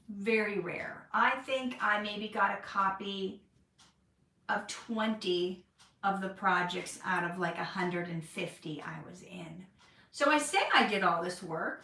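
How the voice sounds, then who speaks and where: Chatty woman with bright, roomy sound. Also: medium pitch, measured pace, distant mic. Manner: chatty, candid, laughs easily. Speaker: actress. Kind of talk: casual livestream Q&A chat. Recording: roomy echo, voice from off-mic.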